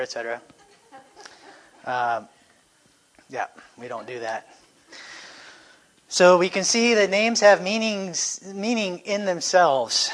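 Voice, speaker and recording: A middle-aged man teaching a Sunday school class into a microphone, talking conversationally with fillers and self-corrections. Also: on the high side. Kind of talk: church class lesson. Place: church classroom. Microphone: handheld or stand microphone.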